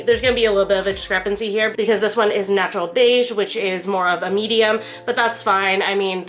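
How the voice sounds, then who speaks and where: A woman's voice: mid-range voice, a bit bright. A young woman talks through a makeup review in a loose, chatty way, leaning on "like" as she goes. Her voice is stuffy and nasal from a cold, and faint music plays behind her. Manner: chatty, lots of "like" fillers. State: congested and nasal from the flu. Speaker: beauty vlogger. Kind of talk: casual product review.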